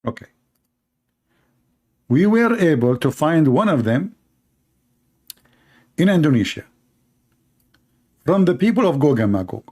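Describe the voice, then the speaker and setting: A man's voice: fairly high pitch, very animated, a little slow, pauses often, dark tone. A man, hosting a commentary show and mocking video clips in a sarcastic, rambling way with many fillers.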